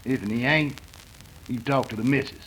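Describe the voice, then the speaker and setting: An actor playing a menacing outlaw gang boss with a folksy cowboy drawl, in 1930s western radio style. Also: male voice, medium pitch, moderate pace, a bit bright, slight background noise, lightly acted, low-quality recording. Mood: threatening, smug.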